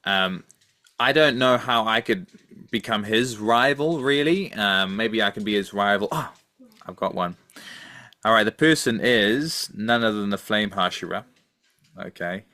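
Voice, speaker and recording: A man with a somewhat low voice chats as a podcast co-host. He is casual and thoughtful, hesitating as he goes and doubling back on short phrases. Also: animated, a little slow, bright, forceful tone, no room sound. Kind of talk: casual chat.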